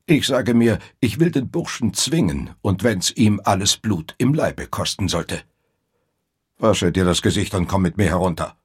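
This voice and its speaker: A stern Victorian stepfather in a radio play, with a deep, very husky voice. He is cold and controlled, commanding with quiet menace, and his delivery swings widely in pitch. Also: expressive, a little slow, exaggerated voice. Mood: menacing. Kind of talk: German radio drama acting.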